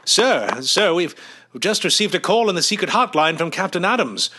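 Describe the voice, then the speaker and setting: A man's voice: high-pitched, sing-song, moderate pace. A man doing a formal, deferential butler. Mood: urgent. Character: proper, loyal male butler. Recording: radio drama with background hiss.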